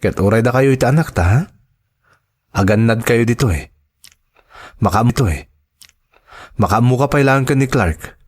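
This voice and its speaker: A man's voice: mid-range voice, animated, normal speed, long pauses, close to an everyday voice. A man playing a devoted, reassuring husband and father in an Ilocano drama, speaking earnestly. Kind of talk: Ilocano radio-style drama acting.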